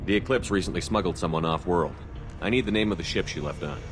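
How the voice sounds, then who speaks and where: A man acting as a cool-headed human commander in a video game, direct, businesslike and even-tempered. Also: low voice, fast talker, noisy background, close to an everyday voice.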